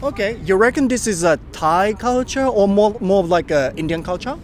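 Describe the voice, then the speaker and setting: A man's voice: high-pitched, expressive, normal speed. A man with a Japanese accent interviewing people on a city street in a chatty, friendly way, laughing often. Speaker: street interviewer, channel host.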